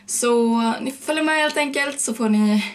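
A young woman from Sweden vlogging casually to a handheld action camera, chatty and upbeat. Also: high-pitched. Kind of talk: casual vlog chat to camera. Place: outdoors in a busy amusement park and town streets. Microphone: action camera held at arm's length. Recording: loud crowd and music behind. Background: loud music and crowd.

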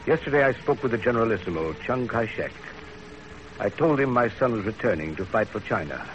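An actor playing a dignified, authoritative older Chinese father in a 1930s radio drama, formal and deliberate. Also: medium pitch, lively intonation, a little hiss or hum, natural voice. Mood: grave. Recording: dull old radio recording.

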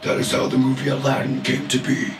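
A man voicing a grumpy, booming male genie who lays down rules in a slow, theatrical voice with an echo. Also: monotone, close to an everyday voice. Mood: matter-of-fact. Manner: grandly pronounces rules, later sings. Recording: echoey with loud music behind.

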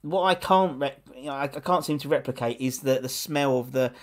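A man's voice: mid-range voice, brisk. A man hosting a casual video-call chat from home, rambling with lots of restarts. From England. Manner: rambling, chatty, many restarts. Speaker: host. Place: home room lit pink. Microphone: wired earphones on video call.

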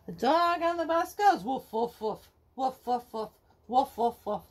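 Slow, high-voiced woman singing a picture book. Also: expressive. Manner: slow sing-song, singing the verses. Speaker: storyteller reading a children's picture book. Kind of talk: read-aloud sung picture book for kids. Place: home living room, indoors.

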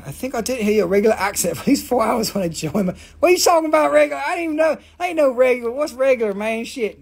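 A man hosting a live rock sale, joking, swearing and rambling in fast auction patter. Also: very high voice, vocal fry, slightly rough. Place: mineral shop indoors.

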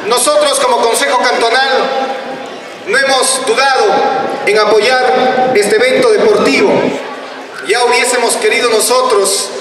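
A man's voice: extremely high pitch, steady tone, a little slow, long pauses, recorded from a distance. An Ecuadorian man in his forties, a town councillor, gives a formal public address over a PA. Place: covered outdoor sports court. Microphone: stand microphone through loudspeakers. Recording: echoey PA, crowd, loud noise.

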